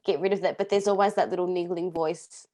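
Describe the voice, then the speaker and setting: A woman's voice: fairly low pitch, fairly even intonation, a little fast, dark tone. Aussie woman host, supportive and upbeat with her guest. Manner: affirming, encouraging. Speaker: podcast host. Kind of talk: interview host chat.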